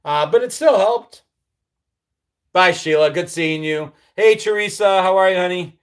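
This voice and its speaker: A man's voice: high voice, a little slow, clean tone. Easygoing nostalgic man hosting a livestream. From upstate New York. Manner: reads viewer comments aloud and answers them; nostalgic, easygoing, with frequent ums and trailing drops. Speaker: livestream host. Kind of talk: casual live-chat reminiscing.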